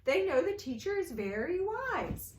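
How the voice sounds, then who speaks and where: A woman telling a folktale at a children's storytime, playful and animated, inviting the kids to join in. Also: very high-pitched, measured pace. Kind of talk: storytime read-aloud for kids.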